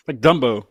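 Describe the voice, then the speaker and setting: A man's voice: a little high, breathy edge, no echo. A man hosting a casual chat, joking and rambling as he goes. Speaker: podcast host interviewing a band.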